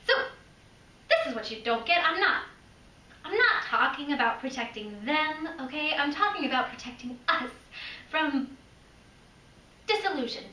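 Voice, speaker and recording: Woman acting as indignant young female film fan, high bright voice, insistent, theatrical monologue, echoey room. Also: full character voice. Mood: pleading, insistent. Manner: emphatic, casual, insistent. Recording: roomy, distant mic, faint noise.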